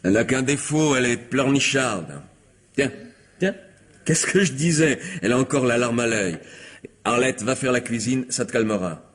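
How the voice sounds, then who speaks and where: An actor playing a cruel, domineering and manipulative lover in a radio mystery drama, commanding and mocking. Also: male voice, medium pitch, normal speed, lightly acted. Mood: smug, seductive.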